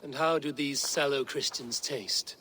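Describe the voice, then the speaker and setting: An actor playing a stern, blunt Viking warrior leader in a video game, terse and commanding. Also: male voice, medium pitch, measured pace, pushed and bright, big-room sound, slight background noise, rough recording. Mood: terse, inquiring.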